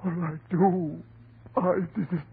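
A man's voice: sits high, little pitch movement, measured pace, creaky. A man playing an overwrought, melodramatic husband on the edge of madness in a 1940s radio horror play. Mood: anguished, pleading. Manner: anguished, melodramatic, swinging from murmurs to shouts. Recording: muffled, faintly noisy old radio recording.

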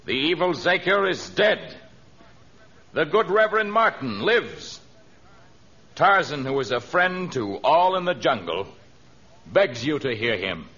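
An actor playing a noble, commanding lord of the jungle in formal, declamatory 1930s radio adventure style. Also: male voice, fairly high pitch, very animated, measured pace, long pauses, pushed and bright, slightly rough, full character voice. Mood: commanding oratory. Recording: dull old radio transcription.

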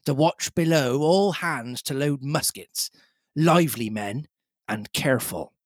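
A man's voice: mid-range voice, a little slow, no room sound, studio quality, lightly acted. A man voicing a stern, haughty ship's captain in an adventure storybook, clipped and commanding. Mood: brisk, commanding.

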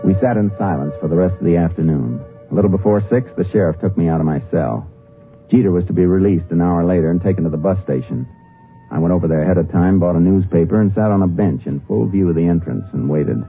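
A thin, tinny old radio recording carries a low-voiced American tough guy. He is a guarded, surly man who speaks curtly and gruffly, in the hard-boiled manner of fifties crime drama, with faint music in the recording. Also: fast talker. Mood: measured narrating. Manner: gruff, curt, distrustful drawling talk. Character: undercover Texas Ranger posing as surly, guarded male jailbird. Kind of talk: 1950s radio drama acting.

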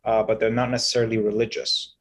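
A man in his thirties, from Egypt and raised in Canada, telling his story as an interview guest in a halting, explanatory way, often checking in with "right?". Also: fairly low pitch, level tone, slight background noise. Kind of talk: conversational storytelling.

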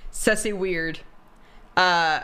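Une femme qui coanime une discussion décontractée en direct, qui rit souvent et mélange des mots anglais à son français. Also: female voice, lowish voice, steady tone, extremely slow, pushed and bright, clear, resonant tone. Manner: laughs often, mixes English words into French. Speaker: co-host. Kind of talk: casual chat on a live stream.

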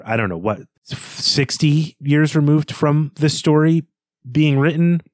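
A man's voice: mid-range voice, no room sound. Discursive man, dry studio-like sound. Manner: discursive, halting, circles toward his point. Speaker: podcast host. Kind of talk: analytical literary discussion, conversational.

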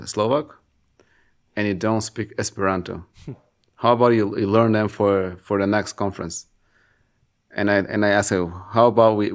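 A man with a lowish voice recounts a memory in an unhurried, chatty way. He restarts phrases often and speaks like he is among friends on a podcast. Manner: relaxed storytelling with frequent restarts. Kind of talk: casual conversation.

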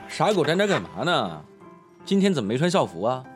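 A man's voice: mid-range voice, huge pitch swings, fairly quick, faint music behind. A male actor playing a brash, foul-mouthed, teasing teenage schoolboy in an audio drama, in slangy exclamations. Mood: astonished, teasing. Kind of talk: Chinese audio drama acting.

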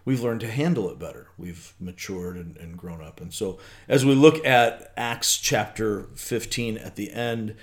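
A middle-aged man with a somewhat low voice talks his way through ideas on a podcast. He restarts often and thinks aloud in a loose, rambling way. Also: unhurried, hardly any pauses. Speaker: podcast co-host. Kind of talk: casual faith podcast chat.

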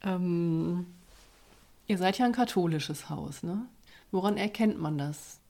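A woman's voice: fairly low pitch, takes it slowly, creaky, warm tone. A woman interviewer asking casual, conversational questions. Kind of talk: podcast interview.

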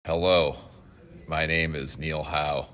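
Man in his 30s, very deep, slow, deadpan. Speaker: interviewee.

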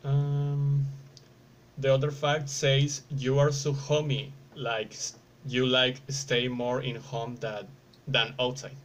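Casting: male interviewer, non-native English, mid-range voice, slow and halting delivery, casual tone, faint ambient noise behind him. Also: mid-range voice, monotone, poor audio. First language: not English. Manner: halting, searching for words.

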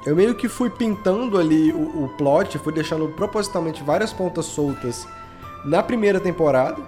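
Brazilian dude, voice a bit on the high side, casual slangy podcast chat, bit of music under it. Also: male voice, expressive, moderate pace, darkish tone. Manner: informal, slangy, explanatory. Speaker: podcast guest, audio drama creator and editor.

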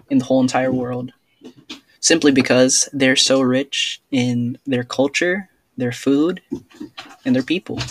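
Young adult student podcaster; flat, slow and hesitant, earnest, dry close sound. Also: medium pitch, no echo. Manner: hesitant, many fillers and restarts. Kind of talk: casual personal podcast monologue.

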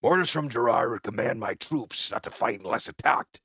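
A man's voice: medium pitch, animated, normal speed, vocal fry, pushed and bright, slightly rough, dry recording, studio quality, exaggerated voice. A man voicing a proud, defiant male crossbow troop leader in a fantasy audiobook, boastful and unrepentant.

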